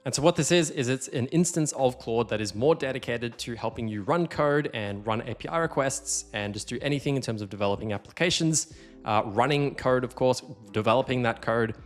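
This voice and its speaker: A man hosting a casual software tutorial, explaining things conversationally and stressing the key points. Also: medium pitch, fairly quick, faint music behind. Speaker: tutorial host.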